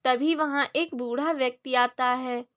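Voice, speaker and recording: High-pitched woman narrating a children's story. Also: steady tone, unhurried, fairly bright tone, muffled recording.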